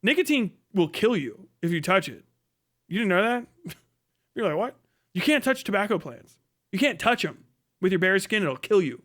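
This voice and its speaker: Middle-aged male streamer, high-pitched, blunt and profane, comedic ranting in a casual livestream style. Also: very animated, normal speed, long pauses, a bit bright. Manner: blunt, mocking, heavy casual swearing. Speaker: live streamer, host.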